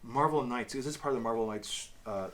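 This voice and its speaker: A man hosting a casual review chat, speaking haltingly and often repeating and correcting himself. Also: medium pitch, a bit bright, a little hiss or hum. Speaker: host of a review show.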